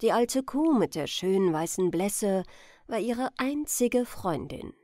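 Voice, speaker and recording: Female bedtime storyteller: gentle, slow delivery, animated intonation, in a dry recording. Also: medium pitch, dry recording. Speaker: storyteller, channel host. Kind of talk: bedtime fairy-tale narration.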